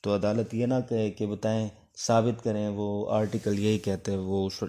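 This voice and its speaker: A man's voice: lowish voice, little pitch movement, normal speed, warm tone. A man with a Pakistani accent giving an informal law lecture as a teacher, explaining step by step and switching between two languages. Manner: explanatory, code-switches between Urdu and English.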